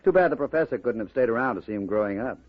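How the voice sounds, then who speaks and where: An actor playing a ruthless gangster boss in a 1950s radio drama, mocking, commanding and coolly sinister. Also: male voice, medium pitch, expressive, very fast, lightly acted. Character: ruthless, taunting gangster boss with smooth cruelty. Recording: dull vintage radio recording.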